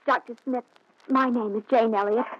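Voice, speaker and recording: Actress as an earnest young fiancée, high voice. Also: female voice, steady tone, moderate pace, a little hiss or hum, natural voice. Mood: anxious, pleading. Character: anxious, pleading young fiancée in her early twenties. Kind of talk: 1940s radio thriller acting. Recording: muffled 1940s radio recording.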